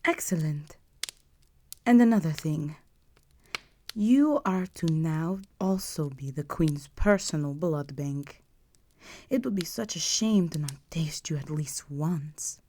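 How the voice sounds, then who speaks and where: A woman's voice: low-pitched, expressive, slow pace, dark tone, heavily acted. A woman playing a regal, possessive vampire countess in an intimate audio roleplay, speaking to the listener in a formal, imperious and seductive manner. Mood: seductive, menacing.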